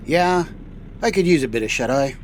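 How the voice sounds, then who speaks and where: Man as a higher-pitched, easygoing trucker captain. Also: expressive, moderate pace, natural voice. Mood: playful, easygoing. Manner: casual, playful. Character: boyish, easygoing trucker captain, playfully stubborn. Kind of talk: scripted comedy audio drama. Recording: faint vehicle noise behind.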